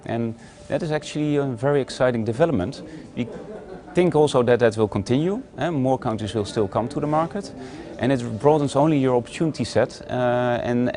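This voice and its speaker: A man talking about emerging market investing in a corporate interview, steady, with frequent "uh" pauses between phrases. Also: medium pitch. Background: faint music.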